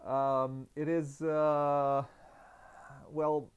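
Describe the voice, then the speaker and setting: A man's voice: fairly high pitch, little pitch movement, very slow, muffled recording. A man hosting an unscripted interview, speaking hesitantly with many stammered restarts. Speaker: interview host. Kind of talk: unscripted board game interview. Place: online video call interview.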